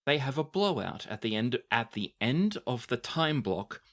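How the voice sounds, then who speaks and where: A man acting as game master, narrating a tabletop roleplaying game and explaining it deliberately. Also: medium pitch, big pitch swings, a bit bright.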